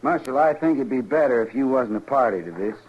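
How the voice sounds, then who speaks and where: An actor playing a rancher's quiet, conciliatory grown son with a rural American accent in radio western style. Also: male voice, medium pitch, expressive, quick pace, breathy edge. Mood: guarded, earnest. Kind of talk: 1950s radio western acting. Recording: dull, noisy vintage radio recording.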